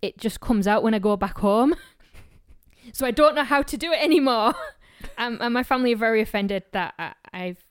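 A woman from Yorkshire with a softened Yorkshire accent, co-hosting a true-crime podcast in a blunt, dry, joking way. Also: on the high side, brisk, smooth, no room sound. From Yorkshire, England. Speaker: podcast co-host. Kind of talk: casual true-crime chat.

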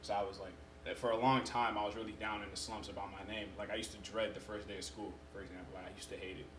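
A young American-born man whose first language is Yoruba, telling his story in a relaxed, casual way as a podcast guest. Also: on the low side, fast talker. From United States. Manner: relaxed storytelling, frequent fillers. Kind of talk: casual conversation. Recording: echoey room with background noise.

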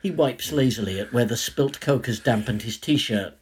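An actor playing an anxious man who narrates his own ordeal in a slow, descriptive literary audio story, with a husky voice. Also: mid-range voice. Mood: dread. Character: anxious male former game show host, narrating his own ordeal.

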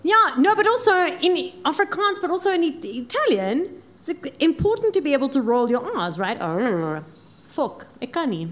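Woman, podcast host, bubbly, rambling, slightly high voice. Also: sing-song, normal speed. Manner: bubbly, laughs often, rambling. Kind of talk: casual chat.

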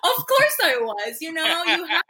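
A woman's voice: extremely high pitch, huge pitch swings, a little slow, sharp tone. A woman from Cuba, a journalism student, chatting casually and laughing as she tells stories. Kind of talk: casual chat.

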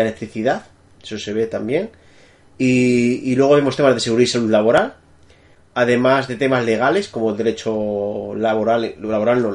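A man's voice: mid-range voice, darkish tone. Madrid-accented man explaining his work. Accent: Madrid Spanish. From Spain. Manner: thinking aloud, many fillers and restarts. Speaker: guest, technical architect. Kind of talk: remote interview answers. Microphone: remote call.

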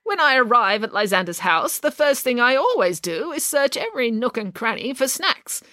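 Elderly female relative, miserly and blunt, scornful delivery, animated intonation, dry recording; a woman reader acting it out. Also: a little high, normal speed, no echo, pitched above the performer's own voice. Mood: matter-of-fact. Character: blunt, miserly, hard-of-hearing old great-aunt.

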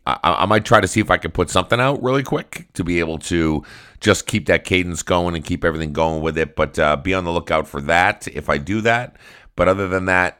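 Middle-aged male podcast host, extremely low deep voice, loose upbeat rambling delivery. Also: fairly bright tone, clear, resonant tone. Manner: rambling and upbeat, with frequent restarts. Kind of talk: casual solo podcast monologue.

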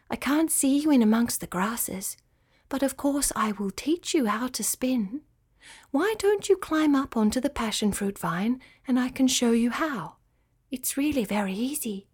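A woman voicing a kindly, proud female spider in a children's story, quiet and whispery, explaining things gently and patiently. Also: a little high, big pitch swings, measured pace, husky, voice pushed up, exaggerated voice.

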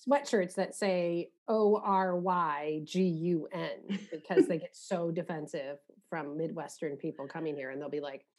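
A woman with an American accent and a somewhat low voice. She interviews in a casual, conversational way, asking informal questions and putting in short reactions. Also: steady tone, a little slow, hardly any pauses, a little mellow. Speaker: podcast interviewer.

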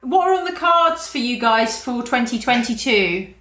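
A woman's voice: a little high, animated, normal speed, over loud music. A woman hosting a casual radio interview, enthusiastic, laughing often and using many fillers. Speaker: radio host. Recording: roomy echo.

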